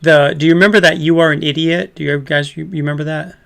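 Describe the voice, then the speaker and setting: A man's voice: fairly high pitch, fairly even intonation, fast talker, gravelly, a bit bright. A man in his fifties hosting a casual livestream chat, rambling and laughing often. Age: 50s. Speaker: host.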